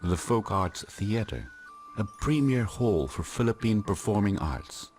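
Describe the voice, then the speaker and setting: A man giving measured, deliberate scripted documentary narration. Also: on the low side, sing-song, darkish tone. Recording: narration over clear background music. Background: instrumental music.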